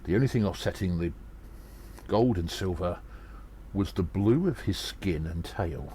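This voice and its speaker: A man playing a small, agile adventurer who recounts battles in an audio drama, earnest and dramatic, with halting pauses. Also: deep voice, lively intonation, mellow, a little hiss or hum. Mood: gripped, suspenseful. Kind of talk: first-person audio drama narration.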